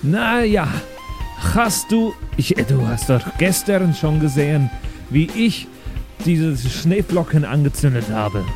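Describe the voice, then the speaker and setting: A man playing an eager, boastful but insecure magician in an improvised fantasy role-play, halting and defensive. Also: fairly high pitch, sing-song, over music, exaggerated voice. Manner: halting, self-assured, defensive.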